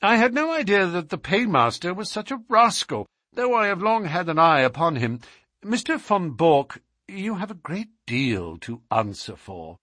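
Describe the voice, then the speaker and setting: A man voicing an urbane, wry English gentleman detective in an audiobook, courteous, precise and self-assured. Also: high-pitched, very animated, normal speed, no echo, studio quality, pitched above the performer's own voice, full character voice. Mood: triumphant reproach. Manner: courteous, ironic, precise, unruffled.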